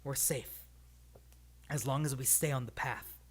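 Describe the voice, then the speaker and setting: A small, hairy man of the woods out of a fantasy storybook, as a reader puts him on. He talks in a gruff, bossy way at a medium pitch. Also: fairly even intonation, a little slow. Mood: reassuring. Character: little hairy old-world forest man, gruff and bossy.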